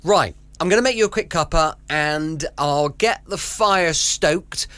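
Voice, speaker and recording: A man's voice: a little high, measured pace, pushed and bright, smooth, no echo. A man with a British accent giving a chatty, homely introduction as a podcast host. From United Kingdom. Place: close, dry home recording. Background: faint music.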